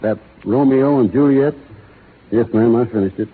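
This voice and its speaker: An actor playing a laconic young cowboy who talks in a slow, folksy rural drawl, in a 1930s radio drama. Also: male voice, medium pitch, husky, close to an everyday voice. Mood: opinionated. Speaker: lead actor in a radio play. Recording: tinny 1930s radio broadcast with faint hiss.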